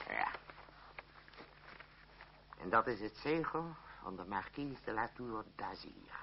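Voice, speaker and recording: A man's voice: low voice, gravelly, slight background noise, full character voice. An actor playing a blustering, scheming father and troupe director in a Dutch radio play, theatrical and hemming. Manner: theatrical, hesitant hemming, wheedling. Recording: dull old radio play recording.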